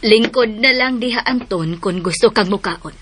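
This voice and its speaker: A woman's voice: fairly high pitch, moderate pace, music in the background, lightly acted. A woman with a southern Philippine accent playing a stern, exasperated mother, reproachful and commanding, in a radio drama. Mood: composed. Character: stern, exasperated widowed mother and household mistress.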